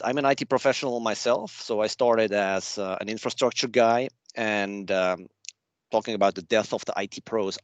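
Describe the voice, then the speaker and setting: Swiss-accented male presenter, lowish voice, casual self-deprecating delivery, dry remote-call sound. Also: dry recording. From Switzerland. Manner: chatty, loose and self-deprecating. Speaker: guest speaker at a user group. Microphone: remote video call.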